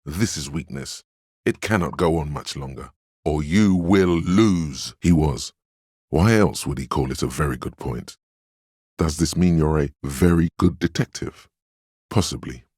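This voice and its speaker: An actor playing the inner voice of a detective's willpower in a video game, steadfast, protective and wry, speaking in a measured, calmly commanding way. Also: male voice, a bass voice, slightly rough, no room sound, studio quality, full character voice. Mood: firm, warning. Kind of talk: scripted game dialogue, second-person inner monologue.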